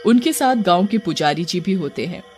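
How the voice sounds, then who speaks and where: A man reads scripted story narration in a high-pitched voice. Faint music plays behind him as he tells the tale. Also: lively intonation, moderate pace.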